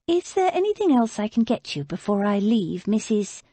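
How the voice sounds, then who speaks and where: Genteel young woman, polite and hesitant, breathy, lively tone, read by a woman narrator. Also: mid-range voice, normal speed, dry recording, voice pushed up. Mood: polite, uneasy.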